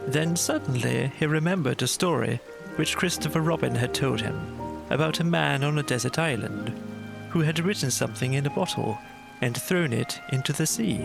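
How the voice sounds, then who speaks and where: A man narrating a scripted bedtime story as an audiobook storyteller, in a gentle, measured way. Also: fairly high pitch, creaky, low-quality recording.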